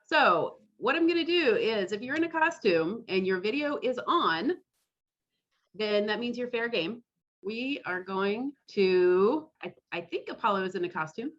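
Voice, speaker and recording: A woman's voice: mid-range voice, lively intonation, a little slow, a bit bright. A woman casually hosting a live online event over a video call, with slightly dull call audio. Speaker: host of an online costume parade. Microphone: computer mic on a video call.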